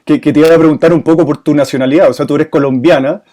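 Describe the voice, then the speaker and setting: A man with a slightly raised pitch hosts an online interview. He frames his questions hesitantly, repeating and rewording them as he goes. His voice comes through a video call. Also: steady tone, rapid-fire, dark tone. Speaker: webinar host. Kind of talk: casual interview conversation.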